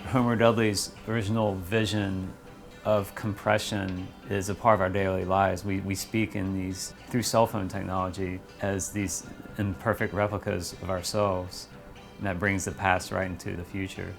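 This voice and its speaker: A man explaining history in a measured way, as in a documentary interview. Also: sits low, level tone, creaky. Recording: music behind the voice. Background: plucked guitar music.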